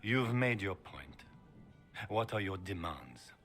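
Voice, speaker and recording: An actor voicing a proud, haughty grand duke in a video game, with a husky voice. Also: male voice, low voice, big pitch swings, slow pace, a bit bright, faint music behind, full character voice. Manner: haughty, dismissive.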